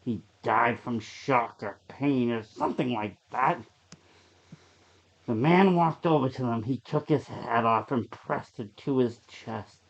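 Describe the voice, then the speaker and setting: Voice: medium pitch, slow, muffled recording. A narrator reading a scripted horror short story aloud.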